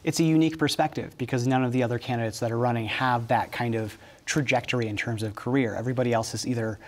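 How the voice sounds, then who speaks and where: A young man hosting a news program from a TV studio, speaking into a lapel mic. His voice sits at an ordinary mid pitch. He reads the introduction crisply, then asks questions in a relaxed, conversational way. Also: fast. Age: young adult. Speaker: TV news host.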